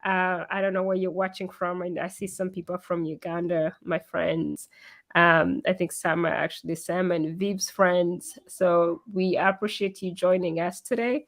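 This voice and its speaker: A woman with a hoarse voice from being sick, hosting a casual live-streamed interview over a video call. She giggles often and chats loosely with many fillers. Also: lowish voice, level tone, a little slow. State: hoarse from illness. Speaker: interview host. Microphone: computer mic on a video call.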